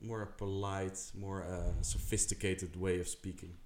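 A man from the Netherlands, speaking English in casual chat, halting, with many filler sounds. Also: low voice, little pitch movement, darkish tone. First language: Dutch. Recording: echoey room.

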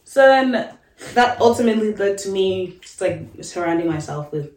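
A woman's voice: mid-range voice, expressive, normal speed, darkish tone, far from the mic. A young woman guest on a podcast, chatting casually and filling her talk with likes. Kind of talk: casual chat. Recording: roomy echo, off mic.

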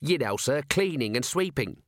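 A man's voice: mid-range voice, expressive, normal speed, slight background noise, lightly acted. A man voicing an earnest, eager police constable in an audiobook, deferential, formal and pedantic.

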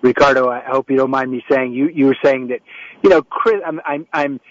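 A man's voice: mid-range voice, steady tone, fairly quick, no echo, dull audio. A man hosting an interview show over a remote setup, rambling a little with frequent restarts and fillers as he introduces guests and asks questions. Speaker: talk show host. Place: home setup in New York. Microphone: remote call setup.

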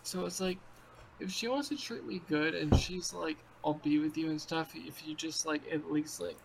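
A man's voice: on the high side, fairly even intonation, normal speed, creaky, a little hiss or hum, low-quality recording. Rambling, profane young man in his twenties. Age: 20s. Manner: rambling, profane, filler-heavy. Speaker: podcast co-host. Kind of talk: crude casual chat. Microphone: video call.

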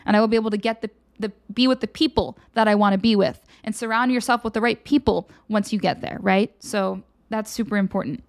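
A woman hosting her own live show, chatting casually and candidly with viewers and handing out frank advice. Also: fairly high pitch. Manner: candid, advice-giving, loose and conversational. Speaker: host of a livestream show.

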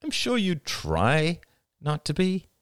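A man's voice: on the high side, wildly swooping pitch, slow, smooth, no room sound, clean studio sound, voice pushed up, full character voice. A man playing an intense, insistent husband and father in dramatic audiobook dialogue. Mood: wary.

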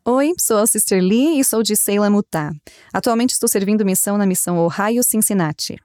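A woman's voice: mid-range voice, a little fast, a little mellow, dry recording, clean studio sound. A woman who is a missionary guest, introducing herself and sharing her experiences openly. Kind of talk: personal sharing.

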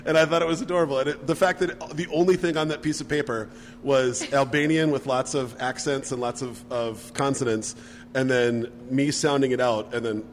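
A man's voice: mid-range voice, steady tone, brisk, big-room sound, slight background noise. A man in casual podcast chat who rambles, restarts and laughs often. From Minneapolis area.

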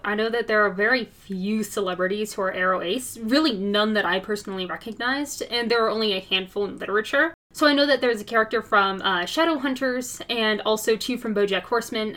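A woman's voice: medium pitch, fairly bright tone. A woman interviewing a guest in a conversational way, asking prepared questions. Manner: asks questions, reads them out.

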